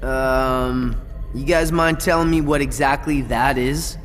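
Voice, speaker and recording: A man's voice: medium pitch, steady tone, slow, close to an everyday voice. An actor voicing a sarcastic, tough teenage boy in a video game, dry and wisecracking. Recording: game audio with long reverb. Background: music.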